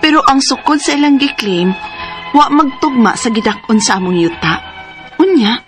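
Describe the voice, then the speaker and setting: A woman's voice: high-pitched, lively intonation, normal speed, music in the background. An actress in a radio drama playing a determined adult daughter who speaks earnestly to her mother. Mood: anxious. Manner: earnest, pleading, comforting.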